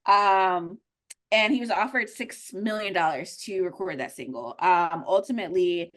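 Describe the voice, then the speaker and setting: A woman's voice: on the low side, normal speed, fairly bright tone. A woman whose first language is Spanish, co-hosting a podcast in casual chat, talking with many ums and likes. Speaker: podcast co-host.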